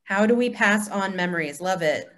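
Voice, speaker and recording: A woman's voice: mid-range voice, lively intonation, normal speed, fairly bright tone, slight background noise. A woman facilitating a conversation on a video call, encouraging and feeling her way through her phrasing. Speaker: cantor, discussion leader.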